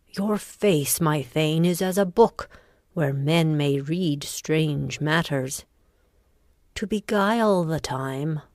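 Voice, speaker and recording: A woman playing a ruthless, ambitious noblewoman in a deliberate, intense Shakespearean audiobook performance. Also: low voice, darkish tone, dry recording, studio quality. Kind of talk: dramatic Shakespearean audiobook reading.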